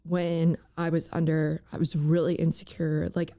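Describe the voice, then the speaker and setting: A young woman talks in a candid, halting way, full of fillers and little restarts. Her voice is raspy and cracks often. It sits low for a woman and has a mellow, soft color. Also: level tone. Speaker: guest. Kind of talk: candid personal interview.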